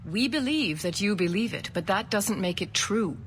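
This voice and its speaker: A woman voicing a calm, diplomatic alien councilor in a video game, speaking formally and with poise. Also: mid-range voice, moderate pace, in a large hall, slight background noise, natural voice. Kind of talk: video game cutscene acting.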